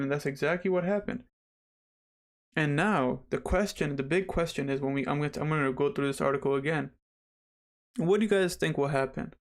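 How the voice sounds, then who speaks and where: A young man hosting a casual livestream, slightly raspy after dental surgery, chatty and rambling as he talks straight to viewers. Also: on the high side. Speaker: livestream host.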